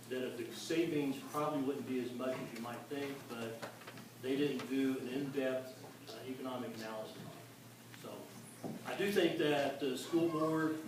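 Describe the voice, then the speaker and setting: A man, a political candidate, answering questions at a town forum in a measured, explanatory way. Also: mid-range voice, background noise. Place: community meeting hall. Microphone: distant microphone. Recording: echoey hall from far off.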